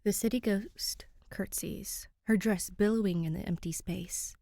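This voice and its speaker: A woman's voice: medium pitch, unhurried, vocal fry, warm tone, no room sound, clean studio sound. A woman reading a story aloud as a scripted fiction narrator. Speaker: podfic narrator.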